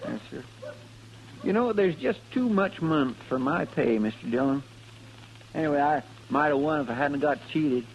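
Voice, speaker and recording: An actor playing a folksy, naive sidekick in a vintage radio Western, plaintive and deferential, with a rural American accent. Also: male voice, a little high, animated, moderate pace. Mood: plaintive, sheepish. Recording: dull, hissy old radio recording.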